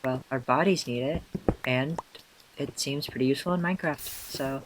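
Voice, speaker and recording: A man with a hoarse, tired voice, self-consciously rambling through casual gameplay commentary with many fillers and restarts. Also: medium pitch, moderate pace, noisy background. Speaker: let's player.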